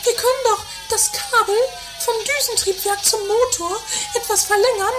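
A woman playing an excitable, naive, scatterbrained castle dweller in an amateur comedy radio play, with melodramatic exclamations. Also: extremely high pitch, moderate pace, background noise. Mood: eager, cheerful. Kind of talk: amateur trash radio play, comic drama. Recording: loud music under voices, 1980s radio play.

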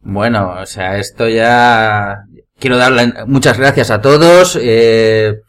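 A man with a medium-pitched voice and a Spanish accent from Spain. He chats casually and spontaneously as a member of a podcast panel. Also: slow pace, fairly bright tone.

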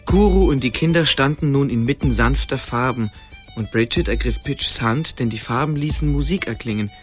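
A male narrator tells a dramatic tale in a medium-pitched voice. It is scripted radio storytelling, with faint music running underneath. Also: normal speed.

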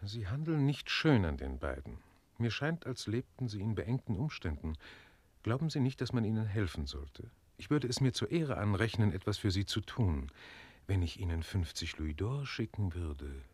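Impassioned, breathy lovesick marquis. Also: male voice, on the low side, big pitch swings, normal speed, darkish tone, dry recording. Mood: feigned concern. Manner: impassioned, urgent. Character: lovesick French marquis, impetuous, proud, desperate aristocrat. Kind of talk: German radio drama acting.

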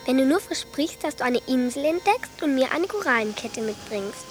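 A woman's voice: high voice, expressive, moderate pace, faint music behind. An actress playing a tender, conspiratorial young female confidante in a German radio drama. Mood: tender coaxing.

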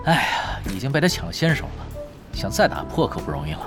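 A man's voice: mid-range voice, big pitch swings, fast talker, vocal fry. A man playing a cocky, playful young expert gamer in a Chinese audio drama, teasing and nonchalant with a theatrical delivery. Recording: music behind the voice.

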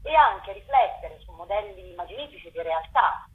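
A woman's voice: mid-range voice, lively intonation, normal speed. A woman festival curator, a guest on a radio interview, explaining her work at length in a thoughtful way over a phone line. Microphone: telephone.

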